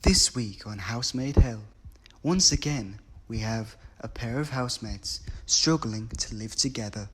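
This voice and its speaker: A man playing a mock-serious reality TV narrator, delivering scripted introductions in a deadpan announcer manner. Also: medium pitch, unhurried, hardly any pauses.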